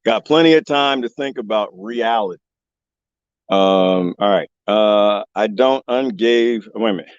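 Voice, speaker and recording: A man live streaming from the desert, joking and rambling in a loose, animated way. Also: fairly low pitch, measured pace, smooth, dry recording, lo-fi sound. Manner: animated, joking, imitates bird chirps. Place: outdoors in the desert.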